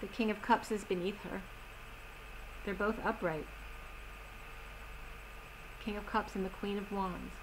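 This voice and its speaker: A woman giving a tarot reading in a slow, soothing manner, slightly strained as she is losing her voice. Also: medium pitch, steady tone, background noise. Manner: slow, soothing reading of oracle cards with gentle pauses. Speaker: tarot reader. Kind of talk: solo tarot reading video.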